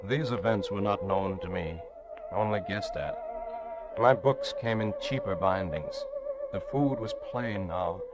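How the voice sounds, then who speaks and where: A man's voice: on the low side, huge pitch swings, a little slow, muffled recording. An actor playing an anguished, lonely prisoner recounting his confinement in an old radio drama, with theatrical, intense delivery. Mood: weary, sorrowful. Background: music.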